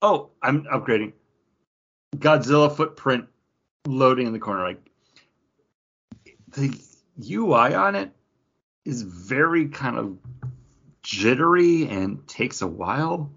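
A man co-hosting a podcast in casual chat, rambling and animated, acting out tapping through game menus. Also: medium pitch, slow, gravelly, pauses often, husky, muffled recording. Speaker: podcast co-host.

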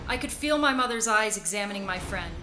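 A woman's voice: mid-range voice, lively intonation, fairly quick, over music, lightly acted. An actress playing a haunted, frightened young Sicilian woman who narrates her bloody visions in a radio play. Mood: eerie, subdued. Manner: solemn, vivid storytelling that breaks into panicked cries.

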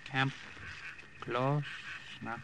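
An actor playing a terse, wary native jungle scout who speaks halting, broken English in an old radio drama. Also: male voice, medium pitch, fairly even intonation, creaky. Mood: hushed, wary. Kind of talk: 1950s radio drama acting. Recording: old noisy radio recording.

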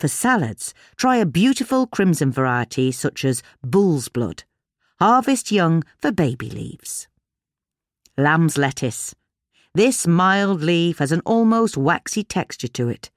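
A woman's voice: low voice, big pitch swings, slow, dry recording, studio quality. A woman with a British accent reading a scripted article as a narrator.

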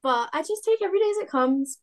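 Woman, twenty, high-pitched, candid, unpretentious, casual chat. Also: animated, fast. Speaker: interview guest, young farmers club member.

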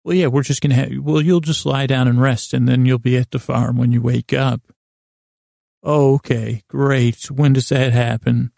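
Male podcast host, creaky mid-pitched voice, dry close sound; meandering, self-deprecating bedtime rambler who keeps interrupting himself. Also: steady tone, darkish tone, dry recording. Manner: halting, meandering, self-interrupting with false starts.

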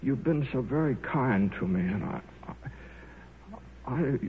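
An actor playing a meek but stubborn, socially awkward scientist, halting and polite, in 1950s radio drama style. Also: male voice, medium pitch, animated, close to an everyday voice. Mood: awkward, earnest. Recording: tinny old radio with faint noise.

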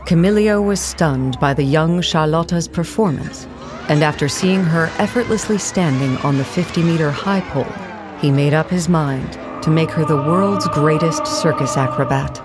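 A woman's voice: deep voice, moderate pace, over music. A woman narrating an audio play in a scripted documentary style.

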